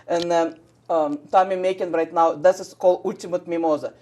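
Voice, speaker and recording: A woman from Russia with a Russian accent, a shop owner chatting eagerly about her products in halting English with many fillers. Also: lowish voice, fairly even intonation, a little mellow. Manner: enthusiastic, halting non-native English with fillers. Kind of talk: casual chat.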